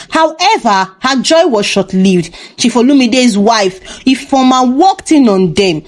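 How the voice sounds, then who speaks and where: Female narrator for scripted folktales, higher register, strongly varied melody. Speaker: storyteller, narrator.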